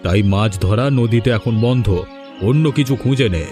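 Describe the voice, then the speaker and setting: A man's voice: mid-range voice, moderate pace, close to an everyday voice. Man playing a firm older cartoon village elder. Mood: stern. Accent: West Bengal Bengali. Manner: admonishing, authoritative. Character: stern but fair older village uncle and headman. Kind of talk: cartoon voice acting. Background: music.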